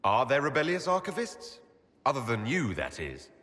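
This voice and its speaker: An actor playing an earnest, loyal leader of a fantasy game order, with a sincere and direct way of talking. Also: male voice, medium pitch, wildly swooping pitch, moderate pace, a bit bright, big-room sound. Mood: amused, curious. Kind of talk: scripted fantasy game dialogue.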